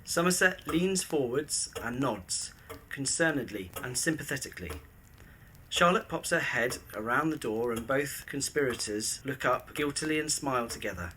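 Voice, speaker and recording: Male narrator, crisp forceful tone, scripted scene descriptions, faint background noise. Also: mid-range voice, expressive, moderate pace, low-quality recording. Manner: descriptive, present-tense stage directions. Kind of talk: scripted audio drama narration.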